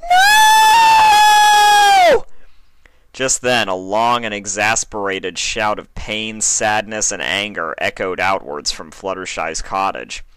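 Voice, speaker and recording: A man reading a scripted story as narrator, with dramatic, deliberate delivery. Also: sits low, sharp tone, smooth, dry recording. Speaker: fan fiction reader and narrator.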